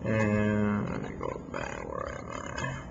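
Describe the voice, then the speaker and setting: A man with a Latin American Spanish accent, a native Spanish speaker, giving a casual how-to talk at his home computer, halting with many fillers and restarts. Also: a bass voice, huge pitch swings, gravelly, reverberant, highs cut off, background noise. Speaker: real estate investor vlogger.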